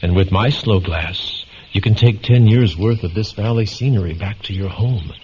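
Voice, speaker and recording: An actor playing a courteous, persuasive salesman in a vintage-style radio drama, polite and smooth in his sales patter. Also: fairly low pitch, moderate pace, darkish tone, lightly acted. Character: courteous salesman of scenic slow glass, smooth-talking countryman. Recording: dull lo-fi radio recording, noisy ambience.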